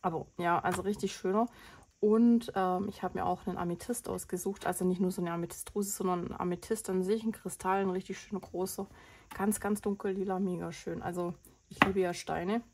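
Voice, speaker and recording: A woman's voice: on the low side, fairly even intonation, brisk, darkish tone, slightly rough. A woman with a cold hosting a budgeting vlog, chatting casually and rambling through her expenses with lots of fillers. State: has a cold. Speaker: budgeting channel host.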